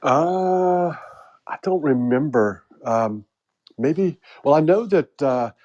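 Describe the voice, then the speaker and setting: A man's voice: fairly high pitch, expressive, takes it slowly, darkish tone, muffled recording. A man with an American accent hosting a casual group chat, rambling and joking with lots of fillers. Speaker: host.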